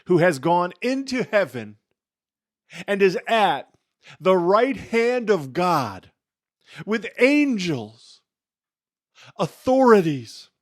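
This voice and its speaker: A man giving a dramatic scripture reading in a solemn, emphatic way, with deliberate pauses and phrases that fall away softly. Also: sits high, sing-song, dry recording, studio quality. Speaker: scripture reader.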